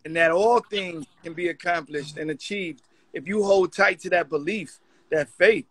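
Middle-aged man, high bright voice, dry sound, emphatic informal motivational talker. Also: moderate pace, slightly rough, dry recording. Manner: emphatic, urging. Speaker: guest mentor, magazine editor.

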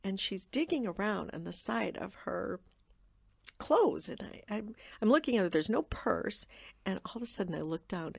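A woman, a podcast guest, telling a warm, laughing family anecdote in casual chat. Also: mid-range voice, lively intonation, moderate pace, vocal fry, husky, dry recording, clean studio sound. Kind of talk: casual storytelling.

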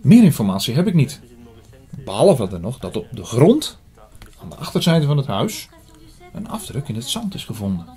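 A man playing an earnest priest in an audio drama, telling his story in a measured, formal way. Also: mid-range voice, big pitch swings, warm tone, natural voice. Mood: earnest, explaining. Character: earnest male priest investigating an apocalyptic prophecy. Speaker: narrator and lead actor. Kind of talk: scripted audio drama, first-person narration. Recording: music under the voice.

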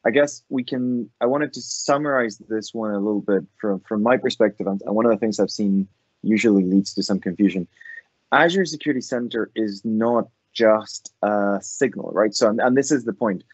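A man's voice: lowish voice, moderate pace, husky, no echo, poor audio. A man with a Scottish accent co-presenting a technical webinar, summarizing things in structured, numbered points.

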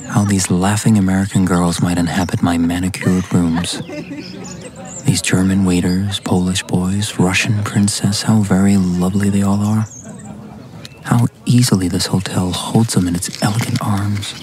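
A low, hushed inner monologue of a lovestruck young gentleman played by a man in a radio play. It is intimate and self-conscious, with clear music underneath. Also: flat delivery, normal speed, lightly acted. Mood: wistful, musing.

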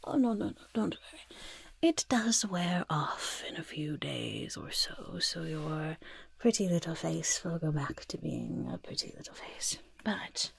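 Woman playing a breathy whispering goblin. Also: deep voice, expressive, a little slow, dry recording, exaggerated voice. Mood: soothing, careful. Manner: speaks of self in third person, repetitive soothing patter. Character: goblin master of disguise, fussy, sly, reassuring helper. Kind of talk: soft-spoken ASMR roleplay. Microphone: close-up ASMR microphone.

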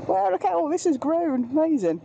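A woman's voice: extremely high pitch, very animated, brisk, creaky, lo-fi sound, noisy background. A British woman giving casual, chatty, self-deprecating commentary through a helmet mic as she rides a motorbike outdoors. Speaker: motorbike vlogger. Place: outdoors, riding a motorbike on country trails.

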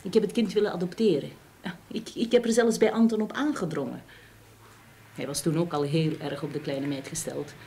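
An actress playing a frank, scornful, devoted middle-aged wife in a radio drama, with an accent from the Netherlands. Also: female voice, deep voice, steady tone, brisk, dark tone. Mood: wistful. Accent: Dutch from the Netherlands. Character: outspoken, wronged but devoted middle-aged Dutch wife. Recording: old radio play with background hiss.

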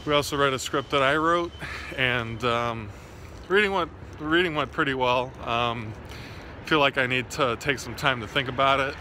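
A man in his thirties talks casually to his phone as he vlogs outdoors on city streets. His voice is bright and forceful at a middle pitch. Faint traffic and street ambience sit behind him. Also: normal speed. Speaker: vlogger. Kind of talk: casual video diary. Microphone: phone at arm's length.

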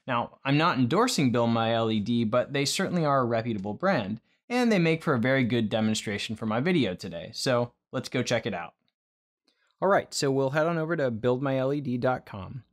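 A man in his thirties giving an informal explanatory tutorial as a video host, in a measured way, speaking straight to the viewer. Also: mid-range voice. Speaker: video host, aquarium hobbyist explaining lighting.

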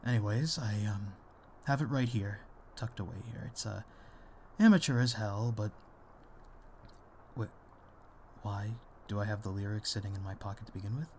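A man playing a gentle, self-deprecating father in an ASMR roleplay, speaking softly and hesitantly to comfort his grown daughter. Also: on the low side, moderate pace, slight background noise, close to an everyday voice. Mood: wistful, tender. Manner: hesitant, soft, soothing, with small chuckles. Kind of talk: intimate ASMR audio roleplay.

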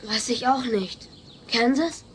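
A woman's voice: fairly high pitch, slow pace. A woman voicing an anxious, gloomy little boy in a sitcom audio play. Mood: worried. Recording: noisy old cassette audio play.

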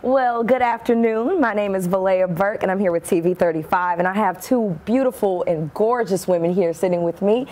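A woman's voice: medium pitch, moderate pace, few pauses, a little mellow. A woman hosting a local TV interview in an effusive, chatty, conversational manner. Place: small furnished indoor sitting-room set.

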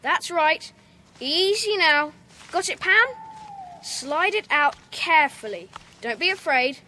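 A defiant young schoolboy, acted by a woman speaks up in a children's radio play. The voice is very high and sharp, on a recording with faint noise. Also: animated, slow pace, exaggerated voice. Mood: urging, reassuring. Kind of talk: children's audio drama acting. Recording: faintly noisy cassette audio drama.

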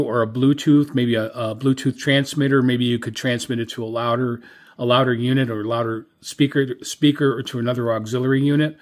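A middle-aged or older man giving a casual product review as a hobbyist, in a hesitant, rambling, conversational way. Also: mid-range voice. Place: indoor room with radio equipment.

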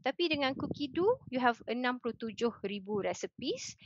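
Woman, Malaysian accent, high voice, live online host, mixes Malay and English, dull dry video-call sound. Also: no echo. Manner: code-switches between Malay and English. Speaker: host of a virtual cooking show. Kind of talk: live online hosting, casual chat with audience. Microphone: headset on a video call.

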